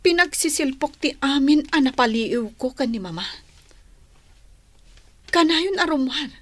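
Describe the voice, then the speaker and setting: Troubled Ilocano daughter, highish voice. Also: female voice, lively intonation, unhurried, no echo. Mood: troubled, confiding. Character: troubled, suspicious daughter confiding in her aunt. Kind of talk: radio drama acting.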